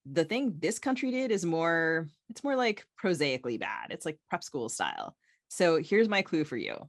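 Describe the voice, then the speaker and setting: A woman's voice: sits low, very animated, normal speed, no room sound, studio quality. An American woman co-hosting a podcast in casual, opinionated banter with a wry, informal manner. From United States. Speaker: podcast co-host.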